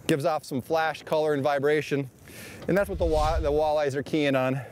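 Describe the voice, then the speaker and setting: Brief: man, fishing-show host, cheery and chatty, slightly high voice, outdoors on a boat. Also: level tone, moderate pace, a little hiss or hum. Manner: upbeat, chatty, explains technique. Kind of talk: casual on-the-water commentary. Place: outdoors on a boat on a shallow lake.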